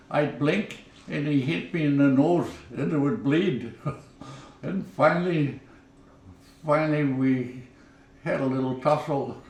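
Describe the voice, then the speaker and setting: A man in his nineties from Minnesota, reminiscing in an interview at home, halting and repeating words as he goes. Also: mid-range voice, darkish tone, echoey room, recorded from a distance. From Minnesota, USA. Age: 90s. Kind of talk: oral history reminiscing. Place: living room at home.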